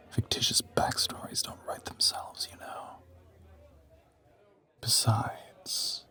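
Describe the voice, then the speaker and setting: A low, half-whispered male voice for an ancient vampire played by a man in an intimate audio roleplay. He is suave and smug, choosing formal, theatrical words to tease his listener. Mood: smug amusement.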